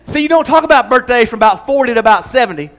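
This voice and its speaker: A man's voice: very high-pitched, animated, racing, a bit bright. An older man preaching a conversational sermon as pastor, mixing humor with emphatic, building repetition. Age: 60s.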